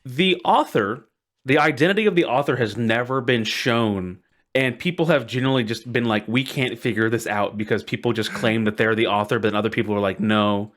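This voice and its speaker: A man's voice: mid-range voice, a little fast, fairly bright tone. A man co-hosting a podcast, casually recounting internet lore in an amused, hyperbolic way. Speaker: podcast co-host.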